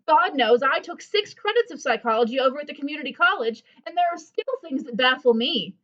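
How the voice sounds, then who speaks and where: Woman playing a high, swooping know-it-all housewife. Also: lively intonation, fairly quick, fairly bright tone, highs cut off. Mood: self-assured, advising. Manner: pompous, chatty, self-assured. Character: bossy, know-it-all gossipy housewife friend, amateur psychologist. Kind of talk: comedic audio drama acting.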